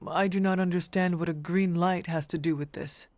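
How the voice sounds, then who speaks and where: A woman's voice: fairly low pitch, fairly even intonation, normal speed, darkish tone, dry recording, natural voice. A woman playing an earnest, formal warrior from centuries ago in a video game. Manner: formal, stiff, no contractions.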